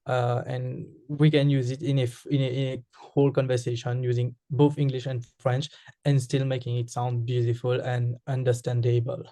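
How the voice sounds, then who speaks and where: A man's voice: medium pitch, level tone, moderate pace, warm tone, no room sound. A man from Mauritius with a Mauritian accent, a Creole speaker answering interview questions, hesitant with frequent fillers and restarts.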